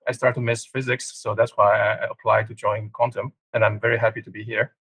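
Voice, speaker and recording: A man introducing himself on a panel as a software engineer, speaking hesitantly with many "uh" fillers. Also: fairly low pitch, little pitch movement, a little fast, gravelly, breathy edge, no echo, low-quality recording.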